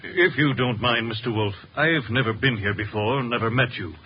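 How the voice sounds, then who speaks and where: An actor playing a concerned, polite gentleman with measured speech in a 1950s radio drama. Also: medium pitch, lightly acted. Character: concerned, well-mannered gentleman, earnest. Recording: muffled, hissy vintage radio broadcast.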